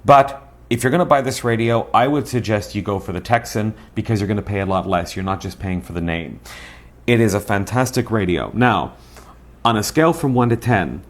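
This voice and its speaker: Low-pitched man hosting a casual review. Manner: conversational, emphatic, addresses viewers with rhetorical questions. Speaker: host and radio reviewer. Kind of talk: casual product review to camera.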